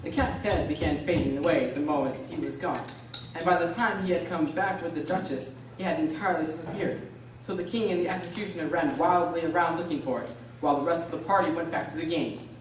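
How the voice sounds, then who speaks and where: A woman's voice: low voice, quick pace, lightly acted. A woman narrating a scripted storybook radio drama. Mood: storytelling. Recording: very echoey, distant, faint noise.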